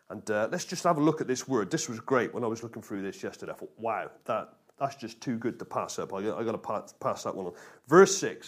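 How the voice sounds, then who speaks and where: A man preaching an informal sermon to a congregation, colloquial and jokey, often checking in with short questions. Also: mid-range voice.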